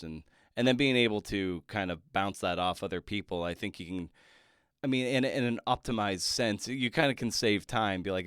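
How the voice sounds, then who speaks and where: A man hosting a podcast in casual interview chat, speaking in a halting, thoughtful way as he circles toward his point. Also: deep voice, fairly bright tone, clear, resonant tone, no room sound, studio quality. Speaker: podcast host.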